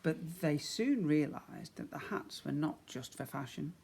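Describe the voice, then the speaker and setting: A man's voice: on the high side, lively intonation, measured pace, creaky, a little mellow, breathy edge. Man reading a children's story aloud. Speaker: primary school teacher.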